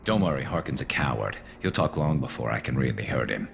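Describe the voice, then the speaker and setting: A man playing a grim, vengeful alien ex-cop turned vigilante in a video game, with a gravelly voice and terse, menacing delivery. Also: rumbling low, animated, brisk, slight background noise, exaggerated voice. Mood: menacing, tense. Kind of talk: video game character acting.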